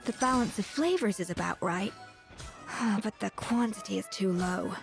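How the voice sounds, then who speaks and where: A woman's voice: mid-range voice, normal speed, gravelly, faint music behind, close to an everyday voice. A woman playing a proud, exacting young pastry chef in an anime game, speaking precisely and with self-assurance. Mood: confident, critical.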